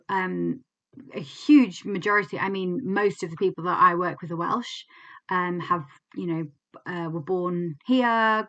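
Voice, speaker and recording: A young English woman talks through her own experience in a candid, reflective teaching style, often pausing with fillers and restarting her sentences. Her voice sits a little low but has a bright, forward edge. The recording sounds slightly dull and muffled. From England. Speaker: clinical psychologist. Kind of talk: reflective teaching talk to camera.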